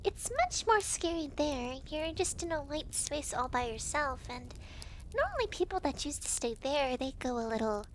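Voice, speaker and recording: Girl doing a super high chatty devil girl for asmr roleplay, breezy n teasing, voice bounces around. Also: big pitch swings, slight background noise, low-quality recording. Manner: giggly, breezy, teasing, halting when flustered. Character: chatty young devil girl, hell's intake clerk. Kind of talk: ASMR roleplay, talking to the listener.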